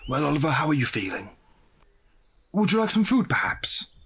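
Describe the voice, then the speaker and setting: An actor playing a courteous, kindly, well-to-do gentleman in an audio drama, polite and a little hesitant. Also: on the high side, big pitch swings, normal speed, slightly rough, full character voice.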